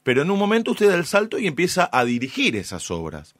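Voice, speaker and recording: A man with an Argentine Rioplatense accent hosting a conversational radio interview in a measured, respectful manner, close to the microphone. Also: on the high side, huge pitch swings, fairly bright tone, dry recording. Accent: Argentine Rioplatense Spanish.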